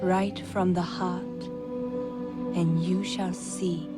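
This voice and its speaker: An actress playing a composed noblewoman of feudal Japan who teaches in a formal, measured way in a video game cutscene. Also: on the low side, little pitch movement, loud music behind the voice. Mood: solemn, instructive.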